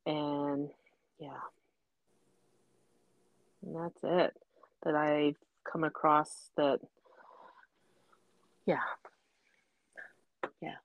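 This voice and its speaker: A woman's voice: low-pitched, little pitch movement, drawn-out words, pauses often, no echo, narrow, tinny audio. A woman co-hosting a podcast in casual chat, hesitant, with many ums and trailing sentences. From Canada. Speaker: podcast co-host.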